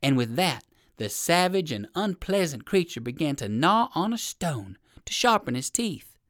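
A woman narrating a children's story in an expressive, emphatic storybook style, speaking to young listeners. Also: low-pitched, moderate pace, no room sound, clean studio sound.

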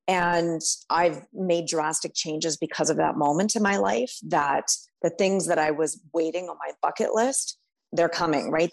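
Woman, panel guest, earnest, reflective, often adds "right?", deep voice. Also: vocal fry, a little mellow. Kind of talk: personal testimony in a panel.

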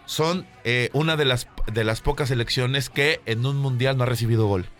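A man's voice: medium pitch, moderate pace, a bit bright. A man hosting a morning show, chatting playfully and announcing guests. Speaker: show host. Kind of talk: casual morning show chat. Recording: faint music behind.

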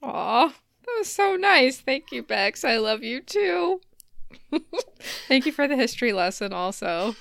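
A woman in her thirties with a slightly high voice takes her time as she speaks, in a dry, close recording. She co-hosts a casual comedy podcast with sarcastic humor. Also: big pitch swings, measured pace, vocal fry, fairly bright tone, no room sound. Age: 30s. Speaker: podcast co-host.

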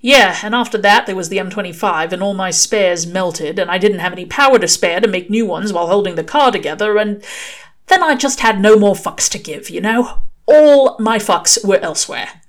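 A woman's voice: medium pitch, normal speed, close to an everyday voice. A woman voicing a sardonic, brusque male demon in an audiobook, with offhand, slangy, muttering delivery. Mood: weary, offhand. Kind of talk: audiobook character dialogue.

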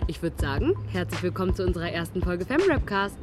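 A woman's voice: fairly low pitch, big pitch swings, fast, over music. A woman hosting a podcast, reading a scripted introduction. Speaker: podcast host.

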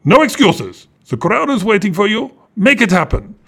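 A man's voice: high voice, very animated, moderate pace, gravelly, fairly bright tone, slightly rough, full character voice. A man voicing a creepy, glamorous woman who collects dolls, speaking with theatrical, sweetly menacing charm and calling people darling. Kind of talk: children's audio story acting.

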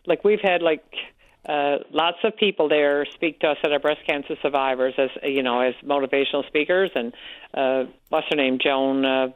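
A woman with a Newfoundland accent calling in to a radio show, loud-voiced and frank, talking on and on. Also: sits low, fairly even intonation, normal speed, dry recording. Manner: frank, talkative storyteller. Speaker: caller, support group organizer. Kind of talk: radio call-in chat. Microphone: telephone. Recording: tinny phone line.

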